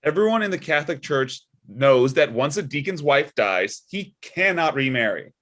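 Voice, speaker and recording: A man playing a prim, pious older dad, high-pitched. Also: big pitch swings, moderate pace, a bit bright. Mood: breezy. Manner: sanctimonious, sugary jabs. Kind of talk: comedic script table read.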